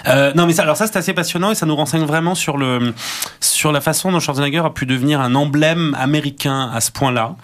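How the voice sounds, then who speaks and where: A man's voice: on the high side, quick pace, fairly bright tone. Thoughtful man analysing at length. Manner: thoughtful, hesitant with fillers. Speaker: guest critic. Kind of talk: radio interview, analytical commentary.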